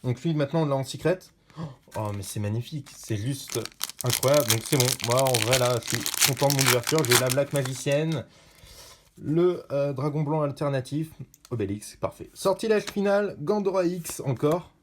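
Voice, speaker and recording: A man talks casually through opening card packs at a middle pitch, naming each card as it comes up. He is chatty and jumps to excited shouts at the good ones. Soft crinkling noise sits faintly behind him. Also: moderate pace. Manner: chatty, bursts into excited high shouts at rare pulls. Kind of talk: casual unboxing commentary.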